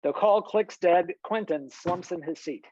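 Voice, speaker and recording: A narrator reads screenplay stage directions in scripted fashion at a middling pitch. The sound is dry and a bit lo-fi, like a video call. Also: unhurried, a little mellow, breathy edge, dry recording. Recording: dull, lo-fi video call audio.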